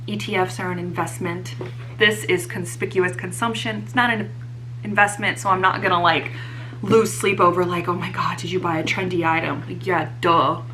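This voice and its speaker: A young woman vlogger, slightly hoarse, chatting casually and teasing herself about her purchases. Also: mid-range voice, sharp tone, slight background noise, poor audio. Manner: chatty, self-mocking, many fillers. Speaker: fashion vlogger. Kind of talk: casual haul chat to camera.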